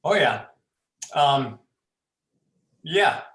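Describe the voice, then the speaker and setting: An actor playing a laid-back young drinker, lazy and hesitant, in a dramatic script reading with echoey sound. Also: male voice, medium pitch, expressive, extremely slow, a bit bright. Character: laid-back beer-drinking young man chatting up girls. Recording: roomy, echoing livestream sound.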